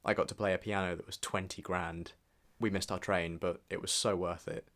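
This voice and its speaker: A man's voice: sits low, flat delivery, normal speed, creaky, fairly bright tone. A young British man speaks as a vlogger reflecting on his own life. His delivery is casual and rambling, and he tends to put himself down as he goes. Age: early 20s. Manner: self-deprecating, rambling. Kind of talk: casual personal vlog and reflective narration.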